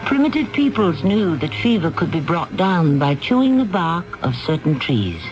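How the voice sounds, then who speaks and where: A man hosting a 1970s radio mystery with measured, portentous scripted narration. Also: on the high side, sing-song, faint music behind, poor audio. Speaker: radio drama host.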